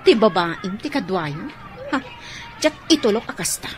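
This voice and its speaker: A woman's voice: mid-range voice, sing-song, a little slow. A woman playing a defensive, irritated wife and mother in a radio drama. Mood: indignant.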